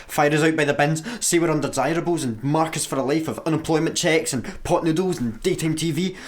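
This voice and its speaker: An actress playing an anxious, sardonic young jobseeker in a radio comedy, fretful, grumbling and dramatic. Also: female voice, low voice, a little fast, natural voice. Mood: panicked. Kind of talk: radio sci-fi comedy acting.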